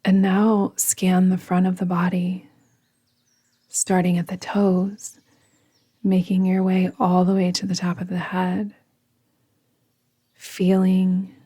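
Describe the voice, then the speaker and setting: A woman guiding a yoga nidra meditation in a soothing, gentle, deliberate manner, often trailing off into a whisper. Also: fairly low pitch, husky. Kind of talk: guided meditation narration.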